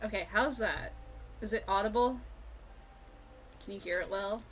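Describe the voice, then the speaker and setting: A woman streaming a video game with casual, excitable, gushing and sweary live commentary. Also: mid-range voice, a little slow, a little hiss or hum, poor audio. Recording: music behind the voice. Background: video game music.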